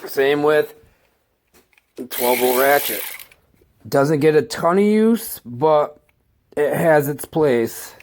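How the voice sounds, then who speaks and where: A man with a stuffed-up cold, casually showing off his work tools in a slow, halting, enthusiastic way. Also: a little high, darkish tone, a little hiss or hum. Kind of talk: casual tool walkthrough.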